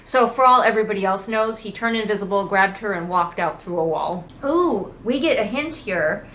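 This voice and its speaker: A woman's voice: mid-range voice, lively intonation, normal speed, a bit bright. Female book-critic host, casual and sarcastic, exasperated mocking delivery, faint background noise. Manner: exasperated, mocking asides and acted-out quotes. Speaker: book critic hosting a readalong. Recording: noticeable background noise, train outside. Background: ambient noise, passing train at times.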